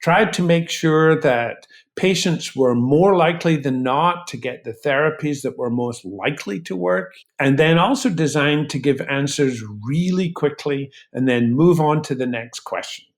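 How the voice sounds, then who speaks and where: A man speaking as an expert interview guest, explaining in emphatic, articulate, measured phrases. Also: a little high. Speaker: physician researcher, interview guest.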